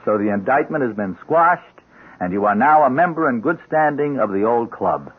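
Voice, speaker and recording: An actor playing a loyal, plain-spoken police officer in a radio drama, with a husky voice. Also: male voice, medium pitch, expressive, normal speed. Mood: wry, reassuring. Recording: tinny band-limited radio recording.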